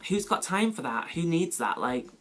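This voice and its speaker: A young man with a Mancunian accent chatting casually as a beauty vlogger, rambling through questions with lots of "like" and sudden high exclamations. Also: very animated, moderate pace, a bit bright. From England. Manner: chatty, rambling, full of "like" and "you know"; pitch leaps up sharply when exclaiming. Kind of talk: casual chat answering a question tag.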